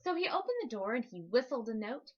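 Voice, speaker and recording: A woman reading a rhyming children's story aloud in an expressive narrating style. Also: a little high, fast talker, dull audio. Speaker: storybook reader.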